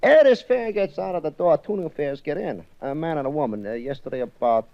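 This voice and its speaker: An actor playing a chatty, rambling cab driver in a 1950s radio drama. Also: male voice, fairly high pitch, lively intonation, a little fast, creaky, no echo. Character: fast-talking male cab driver, rambling. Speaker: cab company representative. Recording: dull old radio broadcast.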